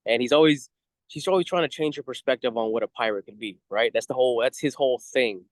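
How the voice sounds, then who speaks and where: A man with a mid-pitched voice co-hosts a relaxed fan review chat. He explains his points in a halting, rambling way. His voice is recorded very dry, without room sound. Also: no echo. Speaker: co-host of a review show.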